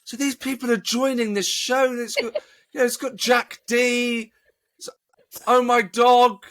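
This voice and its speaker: A man's voice: extremely high pitch, little pitch movement, measured pace, a bit bright. A man in his thirties, a comedian co-hosting from home, chatting casually with self-deprecating humour and many stammering restarts. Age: 30s. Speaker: comedian and co-host. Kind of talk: casual chat. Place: at home, joining remotely early morning.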